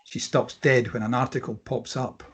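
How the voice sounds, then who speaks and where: Guy reading out script directions like a narrator, husky voice, medium pitch. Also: little pitch movement, moderate pace. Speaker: stage direction reader.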